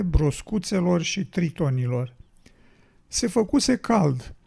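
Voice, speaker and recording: An anxious, well-meaning male road builder as a man acts it in a radio drama. The plaintive voice is very gravelly and dark, with big swings in pitch. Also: heavily acted. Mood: relieved.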